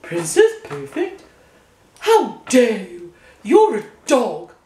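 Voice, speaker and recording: A woman's voice: high voice, sing-song, slow pace, dark tone, full character voice. A woman voicing a haughty, outraged queen in a children's story, imperious and exclamatory. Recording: echoey room.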